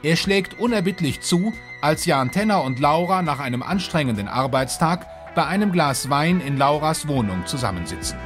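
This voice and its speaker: Dramatic male narrator over soft music. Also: fairly high pitch, animated, normal speed.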